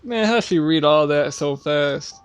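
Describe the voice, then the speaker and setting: A woman's voice: low-pitched, expressive, unhurried, clear, resonant tone. A woman giving casual, slangy, laid-back gameplay commentary in muttered asides. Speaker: streamer playing a game. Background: game music.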